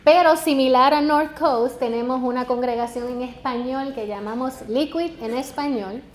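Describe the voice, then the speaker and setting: A Puerto Rican woman in her forties, with a Puerto Rican Spanish accent, gives a sermon as a guest pastor, mixing personal stories and jokes. Her voice sits high, and it rings through an echoey church sanctuary. A faint hum of background noise sits underneath. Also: normal speed. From Puerto Rico. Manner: personal, joking preaching. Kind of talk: sermon to a congregation.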